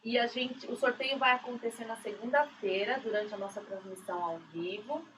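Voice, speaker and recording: A woman's voice: on the high side, normal speed. A woman, co-hosting a casual, chatty radio talk show. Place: radio studio. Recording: distant mic, some background noise.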